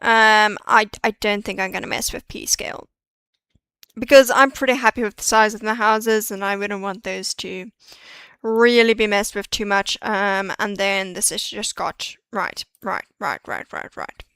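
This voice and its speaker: A woman's voice: mid-range voice, fairly even intonation, measured pace, fairly bright tone, clear, resonant tone, no room sound. A woman streaming a 3D modeling session, chatting and muttering to herself as she works through each step. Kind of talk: live-stream tutorial, thinking aloud.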